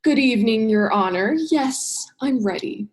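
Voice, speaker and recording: Woman acting an earnest, higher-voiced young woman. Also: measured pace, lightly acted. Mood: earnest, formal. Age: young adult. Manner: direct, emotional. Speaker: drama student actor. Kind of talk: radio play acting.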